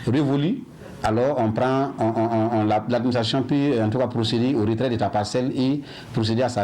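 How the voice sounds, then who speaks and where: A man speaking off the cuff as a local official, haltingly and with repeated words. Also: mid-range voice, darkish tone. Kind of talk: spontaneous interview statement.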